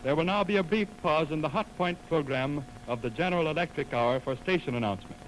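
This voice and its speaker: A man reading formal, measured scripted announcements as a 1920s radio announcer. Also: fairly high pitch. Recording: slightly noisy 1920s radio aircheck.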